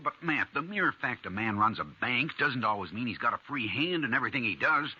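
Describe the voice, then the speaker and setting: An actor in a 1950s radio western, playing an apologetic, nervous small-town banker who pleads and justifies himself. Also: male voice, mid-range voice, expressive, fairly quick, music in the background, natural voice. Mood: apologetic, pleading. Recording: dull old radio recording.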